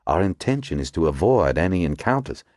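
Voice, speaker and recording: Male reader's reserved drawling agent, low and dry. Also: sing-song, brisk, darkish tone, no echo. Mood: measured. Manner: languid drawl. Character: calm aristocratic male FBI agent, reserved.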